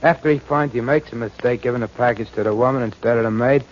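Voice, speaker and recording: Mid-pitched gangster henchman played by a man. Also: fast, lightly acted. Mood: matter-of-fact. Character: slangy, matter-of-fact male gangster henchman. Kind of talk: 1940s radio drama acting. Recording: dull, noisy 1940s radio broadcast.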